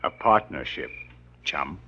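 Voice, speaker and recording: An American actor playing a sly, scheming rival private eye with a slangy, smug, insinuating way of talking. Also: male voice, rumbling low, expressive, slow pace, sharp tone, a little hiss or hum, exaggerated voice. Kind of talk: 1940s radio detective acting. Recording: muffled old radio recording.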